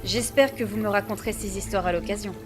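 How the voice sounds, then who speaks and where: A woman playing a proud, solemn female chief of a primitive village clan in a fantasy audio drama, speaking in formal, measured phrases. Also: medium pitch, close to an everyday voice. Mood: stately, explaining. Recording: voice under clear background music.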